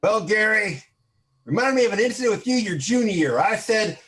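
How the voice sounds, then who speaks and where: A man playing a blunt high school coach in an improvised game show parody. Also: expressive, a little fast, fairly bright tone. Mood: deadpan. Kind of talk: improvised comedy banter.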